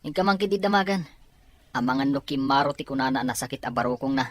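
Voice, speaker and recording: A woman's voice: rumbling low, animated, fast talker, dry recording. A woman with a Filipino accent playing a doubting, grumbling older sibling in an Ilocano audio drama. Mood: concerned.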